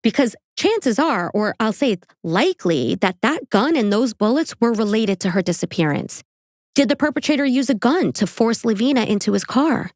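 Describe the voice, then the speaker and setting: A woman's voice: fairly high pitch, no echo. Woman, New York accent, true crime host, dry close sound, casual asides. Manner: casual, joking asides, swears.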